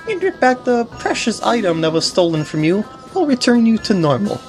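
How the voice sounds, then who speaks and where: Commentator playing eerie video-game mask merchant: courteous, pleading, theatrical, swooping pitch, music behind. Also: sing-song, fairly quick, darkish tone, close to an everyday voice. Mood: polite, ominous. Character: eerily polite, pleading travelling mask salesman.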